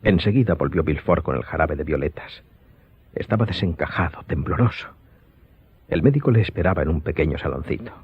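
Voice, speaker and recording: A man giving scripted, dramatic audiobook narration in a husky voice. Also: a bass voice, expressive, brisk. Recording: dull lo-fi sound.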